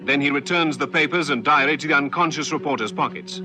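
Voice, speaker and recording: A man's voice: a little high, quick pace, music in the background, close to an everyday voice. A man reading scripted narration for an old radio police drama.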